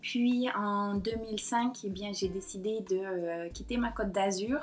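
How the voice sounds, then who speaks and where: A woman's voice: medium pitch, fairly even intonation, faint music behind. Woman with a mixed southern French and Québécois accent. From south of France. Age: 30s. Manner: hesitant, many pauses and fillers. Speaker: painter and blogger. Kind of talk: personal confiding vlog to camera. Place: at home in front of her computer. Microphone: computer or laptop mic.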